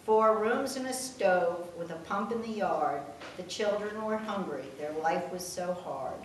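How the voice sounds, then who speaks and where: A woman's voice: medium pitch, measured pace. A mature woman reading her rhymed verse aloud to family, with casual asides. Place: room at a family gathering. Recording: echoey room, distant mic, faint noise.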